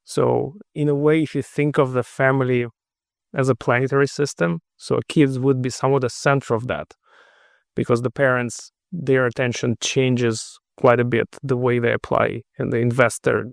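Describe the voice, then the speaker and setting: A middle-aged man with a Russian accent talks things through in a measured, thoughtful way, restarting his phrases as he searches for the right words. His mid-pitched voice has a gravelly edge. The sound is dry and close. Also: no echo. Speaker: podcast guest. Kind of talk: casual interview conversation.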